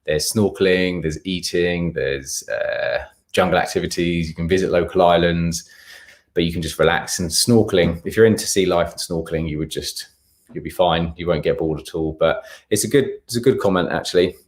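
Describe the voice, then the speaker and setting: A man's voice: deep voice, moderate pace. A man with an English accent hosting a casual live question-and-answer stream, reading out viewers' comments and humming little fillers as he looks through them. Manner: hums filler sounds while scanning for comments. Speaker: travel content creator and live stream host.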